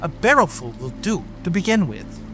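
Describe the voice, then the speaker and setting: A man's voice: high-pitched, very animated, normal speed, bright, forceful tone, full character voice. A man voicing an irritable, bossy rabbit master in a dramatic audiobook, snapping out angry orders. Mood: brisk, commanding. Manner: angry, imperious, exclamatory. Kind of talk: dramatic audiobook character acting. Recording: music and rain ambience behind. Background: music, rain.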